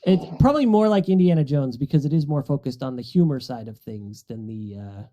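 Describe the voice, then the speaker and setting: A man's voice: medium pitch, animated, a little fast, dark tone, muffled recording. A middle-aged man chatting casually about movies as a podcast panelist, in an explanatory, conversational way.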